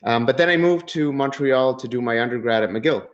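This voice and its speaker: A middle-aged man from Ecuador giving a relaxed conference talk over a video call, with many fillers and restarts. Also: medium pitch, a little fast. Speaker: keynote speaker. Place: home office. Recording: dull lo-fi video call audio.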